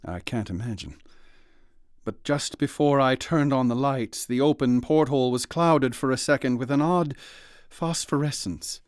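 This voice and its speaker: An actor playing an earnest, haunted ship's doctor in a radio drama, speaking in a formal, old-fashioned manner. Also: male voice, mid-range voice, normal speed, clean tone, no echo, studio quality, lightly acted. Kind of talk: radio drama acting and narration.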